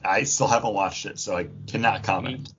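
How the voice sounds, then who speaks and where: A man's voice: fairly low pitch, normal speed, a bit bright, husky, muffled recording. A man hosting a casual podcast, bantering in a wry, teasing way as he moves the talk along. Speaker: podcast host.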